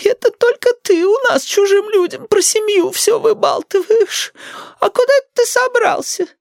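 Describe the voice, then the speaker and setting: A querulous old grandmother in a dramatized storybook. The voice is extremely high and shrill, dragging words out slowly in plaintive, martyred wails. It sounds close and dry. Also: gravelly, dry recording, heavily acted. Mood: wailing, aggrieved. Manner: plaintive drawn-out shrieking and moaning. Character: querulous, manipulative old grandmother, wailing and suspicious. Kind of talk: dramatized audiobook reading.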